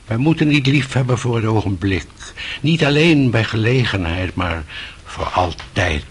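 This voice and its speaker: Husky, solemn old monk. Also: male voice, on the low side, animated. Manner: solemn, measured preaching. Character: grave, kindly, admonishing old holy monk. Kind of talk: radio play acting.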